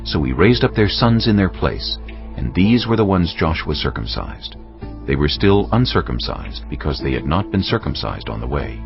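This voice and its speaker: A man's voice: a bass voice, big pitch swings, normal speed, creaky. A man giving scripted narration for a dramatized audio Bible. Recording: dull audio, music underneath.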